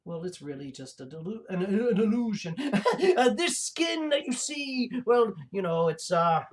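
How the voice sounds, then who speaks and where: Pompous reptilian mad scientist, slow and gloating, mid-register, rambling comic livestream villain. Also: medium pitch, big pitch swings. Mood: rambling, boastful. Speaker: host of a livestream show. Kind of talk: comic in-character villain monologue.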